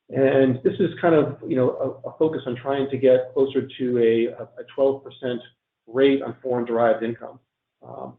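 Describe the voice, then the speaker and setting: A man presenting on a tax webinar, halting and full of ums and restarts, a bit hoarse from losing his voice. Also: mid-range voice. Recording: echoey, tinny phone-line audio.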